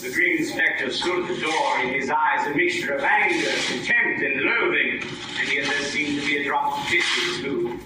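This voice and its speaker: A man's voice: fairly high pitch, moderate pace, lightly acted. A man playing a grandiose narrator and announcer in dramatic old-time radio style. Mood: ominous. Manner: theatrical, grandiose. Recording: echoey live stage, distant microphone, music.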